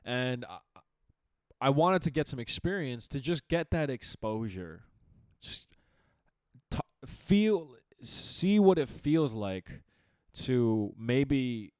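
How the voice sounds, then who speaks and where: A young man co-hosting a casual podcast chat, with a deep radio-type voice, animated and quick to laugh. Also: smooth, dry recording, studio quality. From Chicago area. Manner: animated, laughs often, talks in bursts with restarts. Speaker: podcast co-host.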